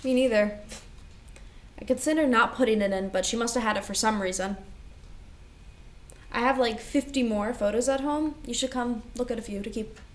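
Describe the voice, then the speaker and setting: Woman playing high-voiced responsible older sister. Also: normal speed, pauses often, lightly acted. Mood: subdued, patient. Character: Rose, responsible, caretaking older sister, grown and as child. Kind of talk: staged play reading.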